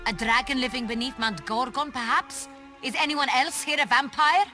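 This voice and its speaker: An actress playing a proud, imperious duchess in a video game drama, speaking in a formal, commanding way. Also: female voice, high-pitched, normal speed, lightly acted. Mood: shocked, indignant. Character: proud, imperious duchess, protective of her sister. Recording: music behind the voice.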